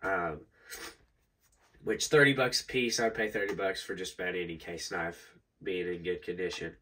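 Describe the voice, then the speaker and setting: A young man with a nasal, stuffy voice from allergies, chatting casually through a show-and-tell of his collection, relaxed and rambling with lots of ums. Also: low voice, normal speed, low-quality recording. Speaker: knife collector vlogger. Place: indoors at a table.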